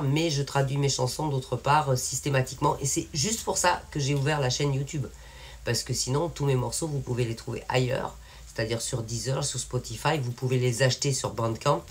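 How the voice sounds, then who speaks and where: A woman's voice: a bass voice, fairly even intonation, normal speed, breathy edge. A woman from France talking casually and frankly to her viewers, rambling with many fillers and restarts. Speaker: independent singer and channel owner. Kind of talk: casual spoken update to viewers.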